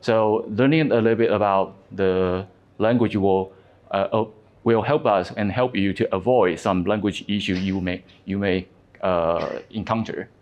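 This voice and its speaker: A man's voice: on the low side, fairly even intonation, a little mellow, highs cut off. Taiwanese-accented man giving a tech talk. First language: Taiwanese Mandarin. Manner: halting, frequent fillers and restarts. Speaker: conference speaker. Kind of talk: conference presentation.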